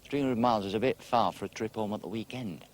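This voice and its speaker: A man's voice: on the low side, quick pace, close to an everyday voice. A man of about forty with an English accent, acting in a TV drama as a reflective, self-doubting man confiding in someone. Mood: hesitant, troubled. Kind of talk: naturalistic television drama acting.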